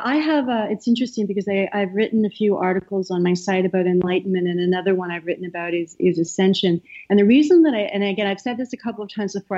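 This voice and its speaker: A middle-aged woman from Canada, a soft-spoken guest who talks with many fillers and pauses. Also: medium pitch. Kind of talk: casual interview talk. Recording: slightly dull, lo-fi sound.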